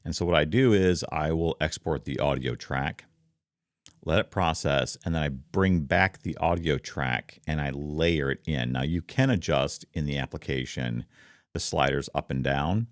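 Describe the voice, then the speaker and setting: A man with a very deep, rumbling voice, explaining things casually and conversationally to viewers, close on a studio microphone. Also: unhurried, no room sound. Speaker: content creator, streamer. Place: home office.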